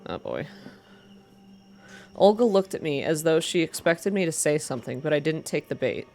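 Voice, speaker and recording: A woman with a low voice reads a story as ASMR narration. Music plays softly behind her as she moves through the narrative. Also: lively intonation, moderate pace. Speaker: reader. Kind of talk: ASMR reading of a story game.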